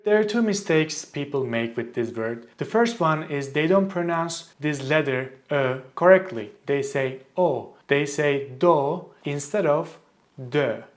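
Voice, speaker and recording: Casting: fairly high-voiced Turkish-accented teacher, clear and deliberate. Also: male voice, expressive. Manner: clear, deliberate, slows to model pronunciation. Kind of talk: language lesson to camera.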